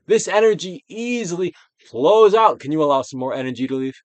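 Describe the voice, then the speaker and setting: A man's voice: a little high, expressive, dry recording. Theatrical man reading with dramatic, sweeping intonation. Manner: theatrical, deliberate delivery with swelling and falling emphasis. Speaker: narrator, audiobook reader. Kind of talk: dramatic reading of a self-help book, guided exercise.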